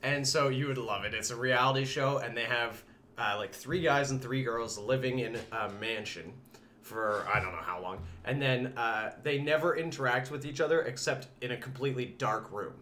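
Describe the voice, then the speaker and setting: A man's voice: medium pitch, moderate pace, pushed and bright, a little hiss or hum. A man, a podcast guest, telling a story with enthusiasm and laughing. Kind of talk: casual chat.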